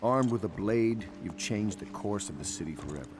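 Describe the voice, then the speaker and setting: Grave game conspirator chief, male actor: lowish voice, authoritative, measured, reverberant hall sound. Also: lively intonation, natural voice. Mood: approving. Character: commanding male conspiracy leader, grave, approving. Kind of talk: video game character acting.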